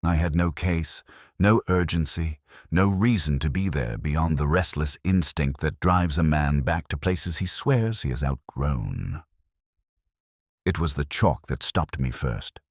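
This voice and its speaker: An extremely low male voice from an actor carries a weary, brooding older police inspector through his own case notes. The delivery is measured, sombre and literary, as in a scripted detective audio drama. The recording is dry and close, with very little room sound. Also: animated, no room sound, full character voice. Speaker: narrator.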